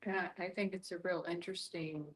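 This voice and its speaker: A woman's voice: lowish voice, fairly even intonation, fairly quick, poor audio. A woman offering her thoughts conversationally in a group discussion. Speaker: discussion participant.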